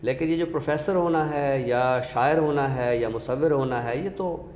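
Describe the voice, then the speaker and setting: A man with a Pakistani accent, giving a literary talk with recited verse in a measured, explanatory way with pauses. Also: medium pitch, darkish tone. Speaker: host of an Urdu literature show. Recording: echoey reverberant room, faint noise.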